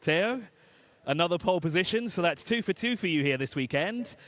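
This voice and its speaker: A man's voice: high-pitched, lively intonation, a bit bright. Chatty pit lane reporter, a man. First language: English. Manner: chatty, quick, joking asides. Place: outdoor race pit lane.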